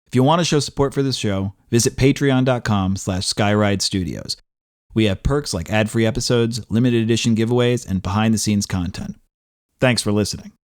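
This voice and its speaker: A man's voice: fairly low pitch, a little fast, no echo, studio quality. A man giving a scripted promotional read as a podcast announcer. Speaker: announcer for a podcast support message.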